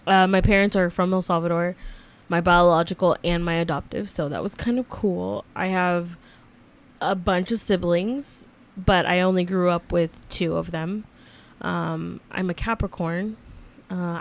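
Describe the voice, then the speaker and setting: A woman in her mid-twenties co-hosting a podcast, chatty and animated with lots of fillers. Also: on the low side. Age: 20s. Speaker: podcast co-host. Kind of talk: casual chat.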